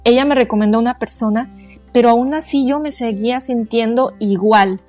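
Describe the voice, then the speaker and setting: A woman, a guest speaking earnestly in a halting way, often searching for words. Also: on the high side, darkish tone, soft background music. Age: 40s. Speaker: guest, quantum therapist. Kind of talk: casual interview conversation.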